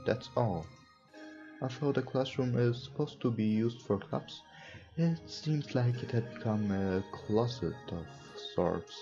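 Young guy doing a let's play, non-native english, slow n hesitant reading, dull mic w game music behind. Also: mid-range voice. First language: not English. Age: young adult. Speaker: gaming video host. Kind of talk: let's play, reading visual novel text aloud with casual comments. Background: game music, mouse clicks.

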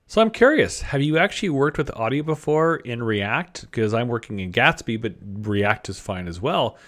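A man presenting a web design tutorial in a casual, informal way, thinking aloud as he narrates his screen. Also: mid-range voice. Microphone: desktop microphone at a computer.

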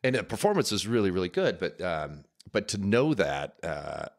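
Man, middle-aged, low pitch, casual podcast host, anecdotal, close microphone. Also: big pitch swings, moderate pace. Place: small room at home. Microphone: close podcast microphone, headphones.

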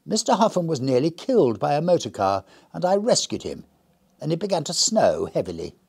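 A man's voice: on the high side, very animated, a little fast, creaky, a little mellow, husky, pitched above the performer's own voice, exaggerated voice. A man doing an eager young son. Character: eager grown son speaking to his mother.

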